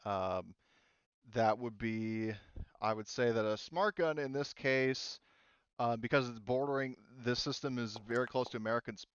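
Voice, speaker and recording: A man running a casual tabletop game as game master, explaining rules and narrating in an informal, halting way with frequent restarts. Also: medium pitch, smooth, dry recording, muffled recording.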